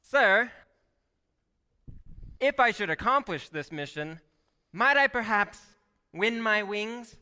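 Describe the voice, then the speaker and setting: A man's voice: sits high, animated, takes it slowly, pauses often, bright, forceful tone, smooth, full character voice. A man playing an eager, polite and innocent apprentice angel in a radio play, with a fussy, earnest manner. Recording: echoey live hall taping.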